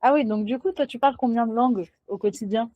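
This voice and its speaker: A woman's voice: a little high, fast, mellow, no echo, muffled recording. A woman hosting a live interview, casual and enthusiastic. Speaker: host.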